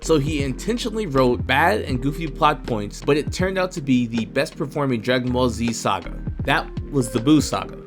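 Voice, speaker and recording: A man's voice: medium pitch, lively intonation, moderate pace, few pauses. Young male video essayist, mid-range voice, scripted opinion commentary with faint music underneath. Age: young adult.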